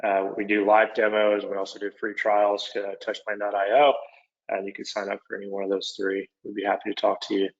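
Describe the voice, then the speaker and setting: A man with an Oklahoma accent, a product manager presenting a webinar in a relaxed, conversational way with frequent fillers. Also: lowish voice, fairly quick. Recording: dull lo-fi webinar audio.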